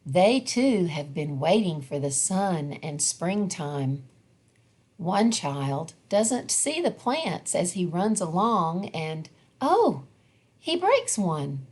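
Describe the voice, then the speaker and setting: Lady reading a kids picture book out loud, really slow and deliberate, slightly low voice, expressive storytelling. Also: husky. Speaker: storybook reader. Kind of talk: children's picture book read-aloud.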